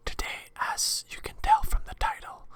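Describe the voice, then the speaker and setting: An ASMR host from Quebec with a Canadian accent, rambling in a halting, hesitant whisper.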